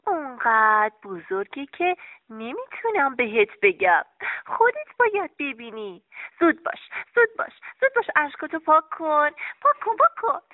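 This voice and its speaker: A woman voicing a big, kindly bird in a children's story, gently scolding and urging. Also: high-pitched, very animated, no room sound. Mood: coaxing. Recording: tinny phone-like dry sound.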